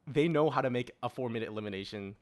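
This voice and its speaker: A man's voice: mid-range voice, rapid-fire. A man co-hosting a podcast, talking informally in a casual panel discussion and explaining his views. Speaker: podcast co-host.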